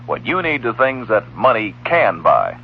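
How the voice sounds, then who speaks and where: A man's voice: on the low side, huge pitch swings, a little slow, gravelly, breathy edge. A man reading a scripted 1960s radio advertisement as a commercial announcer, with a folksy sales manner. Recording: muffled old radio recording.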